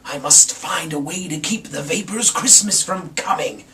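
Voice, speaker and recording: A man's voice: a little high, normal speed, bright, forceful tone, voice pushed up. A man voicing a sour, scheming old Grinch creature in a dramatic storybook reading, with a snarling, sneering delivery. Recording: distant mic, some background noise.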